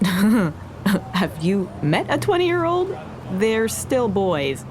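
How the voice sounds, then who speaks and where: An actress playing a blunt, teasing young Mexican-American restaurateur in a naturalistic audio drama. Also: female voice, mid-range voice, very animated, drawn-out words, noisy background. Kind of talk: naturalistic fiction podcast acting.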